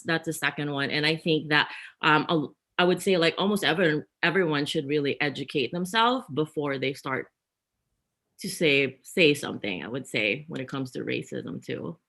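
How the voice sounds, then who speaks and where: A woman's voice: deep voice, a bit bright. A woman from the Philippines, answering interview questions at length with many fillers. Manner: thoughtful, many fillers and restarts. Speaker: guest author.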